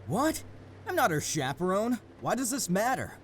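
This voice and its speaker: A man's voice: sits high, huge pitch swings, normal speed, a bit bright, heavily acted. A man playing an impatient police detective in an audio drama, talking conversationally and questioningly. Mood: exasperated. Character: impatient male police detective reporting in from the road. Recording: faint vehicle and ambient noise.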